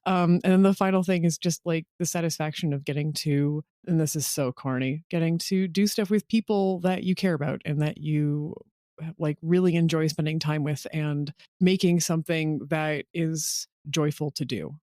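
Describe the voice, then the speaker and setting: A woman's voice: low-pitched, fairly even intonation, moderate pace, mellow, no echo, studio quality. A woman chatting casually in an interview as a podcast creator, candid and self-deprecating in a rambling way.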